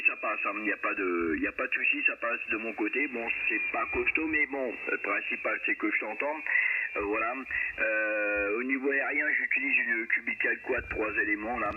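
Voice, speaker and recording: A man operating an amateur radio, chatting casually and repeating himself, heard through a radio transmission. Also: on the low side. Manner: repeats himself, frequent "hein" tags. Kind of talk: casual radio contact chat. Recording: narrow noisy radio link, static and interference. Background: radio static and interference.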